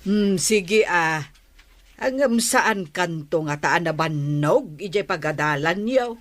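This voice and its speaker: Slow, low-voiced Ilocano mother played by an actress. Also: expressive. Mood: caring. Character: caring middle-aged Ilocano mother. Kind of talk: Ilocano radio drama acting.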